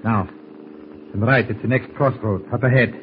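An actor playing a domineering, villainous German spy doctor with a precise, condescending manner, in 1940s radio style. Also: male voice, mid-range voice, steady tone, a little slow, natural voice. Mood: urgent, secretive. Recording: muffled noisy vintage radio recording.

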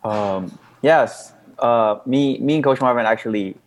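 A young man from the Philippines whose first language is Bisaya, answering interview questions in a soft, hesitant way with many fillers. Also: mid-range voice, unhurried. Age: young adult. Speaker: boxing prospect guest.

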